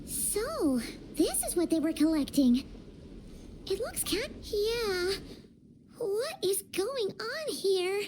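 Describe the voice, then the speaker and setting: A woman voicing a small, childlike fairy companion in a video game, squeaky, excitable and a little jittery. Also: takes it slowly, slight background noise, full character voice. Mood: curious, surprised. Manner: refers to herself in third person, hesitant, excitable. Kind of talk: cartoonish video game voice acting. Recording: game music behind the voice.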